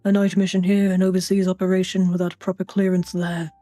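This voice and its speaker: A brooding monster man acted out by a woman narrates his past in a storybook reading. The voice is deep and strained, dark and very even, solemn and halting. It is recorded dry with faint music behind. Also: no room sound, close to an everyday voice. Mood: grave, regretful. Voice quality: deep, throat-strained put-on voice.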